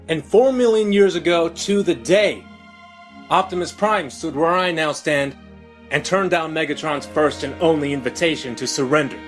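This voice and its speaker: A man's voice: fairly high pitch, a bit bright. A man voicing a charismatic young robot leader, delivering an earnest, declamatory speech for a motion comic. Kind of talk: dramatic oratory in a motion comic.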